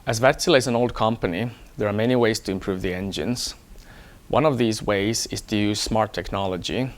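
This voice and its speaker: A man from Hong Kong, an engineer, talking about himself in a measured, explanatory way for an employee profile. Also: on the low side. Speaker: electronics engineer. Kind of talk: first-person employee profile talk.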